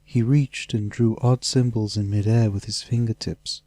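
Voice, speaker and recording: Low, mellow male audiobook narrator. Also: fairly even intonation, normal speed, no room sound. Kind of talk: scripted fantasy narration.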